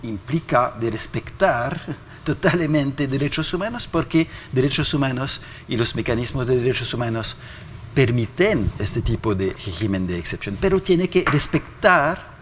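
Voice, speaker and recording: Man with a mid-pitched voice, hesitant interview guest who stumbles and repeats words. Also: expressive, fairly bright tone, a little hiss or hum. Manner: halting, many repeated fillers. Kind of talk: formal interview answers.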